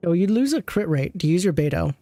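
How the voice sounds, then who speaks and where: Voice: mid-range voice, big pitch swings, fast talker, dark tone, dry recording. A game streamer reviewing an account with casual commentary, thinking aloud and often trailing off mid-thought.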